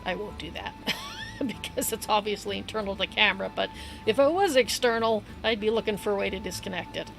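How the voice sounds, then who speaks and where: A woman livestreaming a game, chatting in a loose, rambling way with a hoarse voice and easy laughs. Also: mid-range voice, brisk, low-quality recording. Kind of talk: casual rambling chat while gaming. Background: upbeat game music.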